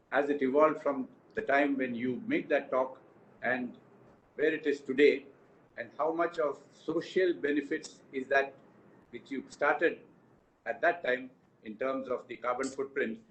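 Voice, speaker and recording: A man's voice: medium pitch, a little slow, a little hiss or hum, low-quality recording. A man moderating a conference interview, asking questions in a courteous, somewhat hesitant way.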